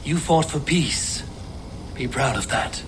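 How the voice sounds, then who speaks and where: An actor playing a steady, honorable Norse warrior leader in a game, measured and earnest. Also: male voice, medium pitch, background noise. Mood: calm. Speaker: Viking protagonist Eivor.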